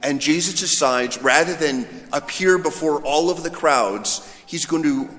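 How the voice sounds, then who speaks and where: A man's voice: a little high, unhurried, fairly bright tone. A male priest giving an informal, conversational homily in a storytelling manner. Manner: storytelling, voicing imagined dialogue. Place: large reverberant church. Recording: echoey hall with long reverb.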